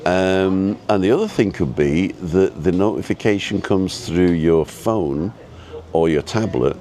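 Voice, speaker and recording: A man with an easygoing, chatty and halting way of talking, casually rambling as he hosts a live vlog. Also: low-pitched, warm tone. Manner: easygoing, chatty, halting as he reads and answers viewer comments. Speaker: live-streaming vlogger and host. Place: outdoors at a restaurant overlooking the road and ocean.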